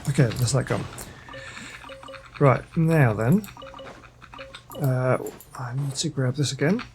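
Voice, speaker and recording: A man's voice: mid-range voice, slow pace, a little mellow, soft background music, low-quality recording. A man giving casual gameplay commentary, muttering to himself as he plays. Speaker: gaming video host.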